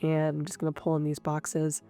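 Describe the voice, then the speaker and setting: Stuffy-nosed woman, low and gravelly. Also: normal speed. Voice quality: slightly rough. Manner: calm, explanatory, thinking aloud. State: stuffy and nasal from a cold. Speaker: planner channel host. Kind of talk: voice-over narration of a craft session. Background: soft guitar music.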